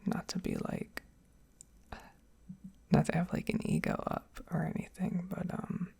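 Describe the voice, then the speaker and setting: A young woman speaking softly in a breathy, mostly whispered ASMR style, rambling reflectively with hesitant pauses and asides. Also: fairly low pitch, little pitch movement. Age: young adult. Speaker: ASMR video creator. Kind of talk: soft-spoken ASMR, rambling personal talk.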